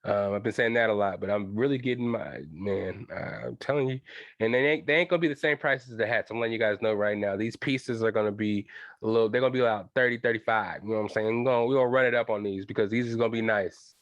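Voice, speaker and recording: A man's voice: fairly low pitch, fast, no echo, dull audio. A young man with a stuffy nose hosting his own podcast alone, chatting loosely in slang and swearing, talking straight to his listeners. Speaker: podcast host. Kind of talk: casual solo podcast chat.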